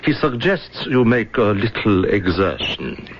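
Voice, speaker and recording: An actor in an old radio drama playing a polite, hesitant gentleman go-between, courteous and formal. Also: lowish voice, sing-song, a little slow. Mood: hesitant, diplomatic. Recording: muffled, noisy old radio recording.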